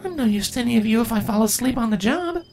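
A man voicing a young grieving pony queen in a storybook reading, pitching his voice high and strained. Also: animated, fast, soft background music. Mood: weary. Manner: tired then bitter, breaks into angry shouts.

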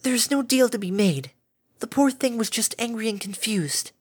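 A woman voicing a timid, gentle female pegasus pony who speaks softly and soothingly. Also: fairly high pitch, animated, moderate pace, husky, voice pushed up. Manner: soft, soothing, gently pleading.

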